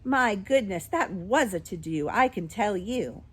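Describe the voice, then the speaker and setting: A woman voicing a tiny, ancient, capricious shopkeeper woman in a children's storybook, with a shrill little voice and an exclamatory manner. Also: expressive, unhurried, husky. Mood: chatty, gleeful. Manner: chattering, exclamatory, swinging from sweet to fierce.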